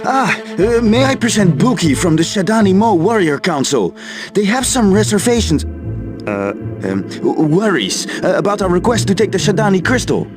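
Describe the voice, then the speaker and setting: Earnest, high-voiced scholar. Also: male voice, big pitch swings, moderate pace, music in the background. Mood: nervous. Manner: hesitant, diplomatic, earnest. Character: earnest, nervous male royal scholar, eager to persuade. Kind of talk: video game cutscene acting.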